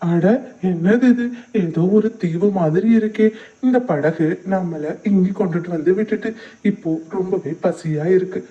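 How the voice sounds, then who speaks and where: A man's voice: high voice, moderate pace, dark tone, dull audio. A man playing a good-hearted village farmer in a cartoon story, earnest and plain-spoken. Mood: surprised. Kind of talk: cartoon voice acting.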